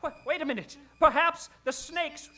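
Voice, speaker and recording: An actor playing an excitable, pedantic professor in a comedic old-time radio adventure, theatrical and prone to flustered rambling. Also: male voice, extremely high pitch, normal speed, faint music behind. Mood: flustered lecturing.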